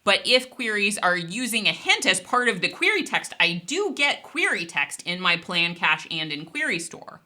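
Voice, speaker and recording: A woman instructor explaining a technical software topic in a conversational, informal and emphatic way, as if thinking aloud. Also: mid-range voice, very animated, normal speed, hardly any pauses.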